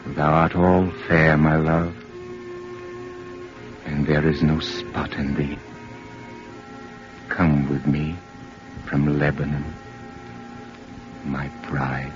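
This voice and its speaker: An actor playing a solemn, adoring reciter of love verse, declaiming slowly in old-time radio style over music. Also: male voice, extremely low pitch, flat delivery, exaggerated voice. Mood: solemn adoration. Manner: slow, declamatory. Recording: dull vintage radio recording, loud music. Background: loud music.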